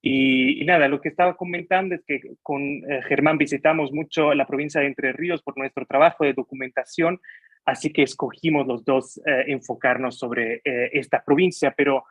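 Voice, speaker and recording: A man's voice: medium pitch, steady tone, normal speed, breathy edge, dry recording. Hesitant non-native man lecturing over a call. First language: Italian. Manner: hesitant, many fillers and restarts. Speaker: guest lecturer. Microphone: video call.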